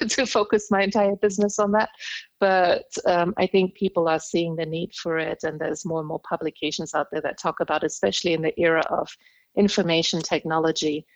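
Woman guest whose first language is Indonesian, lowish voice, thoughtful storyteller, dry recording. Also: no room sound. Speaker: interview guest, communication skills trainer. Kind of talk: podcast interview storytelling.

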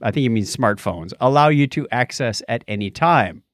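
A man's voice: medium pitch, lively intonation, normal speed, no echo, studio quality. A middle-aged man co-hosting a podcast, chatting casually and recounting personal anecdotes. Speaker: podcast co-host.

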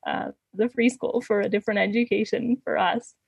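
A woman's voice: medium pitch, fairly even intonation, brisk, gravelly, husky, no room sound. A woman telling personal stories casually, in the manner of an interview guest, often laughing as she talks. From born in Canada, grew up in Ecuador. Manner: giggly, laughs often while talking.

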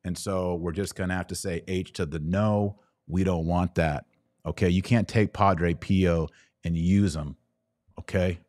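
Deep-voiced American man, emphatic livestream host. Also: fairly even intonation, measured pace, a little mellow, dry recording, studio quality. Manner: emphatic, opinionated, short repeated phrases. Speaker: podcast host taking live audience questions. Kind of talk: casual livestream monologue, reading and answering comments.

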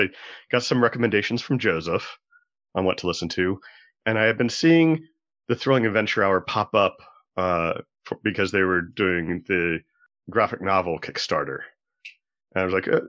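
A man's voice: low voice, fairly bright tone, no room sound. A man telling anecdotes casually as a podcast guest, on dull, dry lo-fi audio. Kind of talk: casual storytelling chat.

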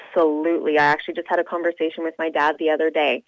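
A woman's voice: low-pitched, flat delivery, racing, no echo. Upbeat woman on a dull phone line. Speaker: radio show personality being interviewed as charity event organizer. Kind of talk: conversational phone interview. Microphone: telephone.

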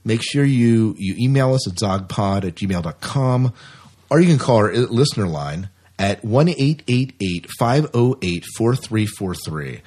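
A man with a fairly low, noticeably husky voice hosts a podcast. He talks in a casual, chatty manner and now and then doubles back on his phrases. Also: lively intonation, a little slow, a little mellow. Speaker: podcast host.

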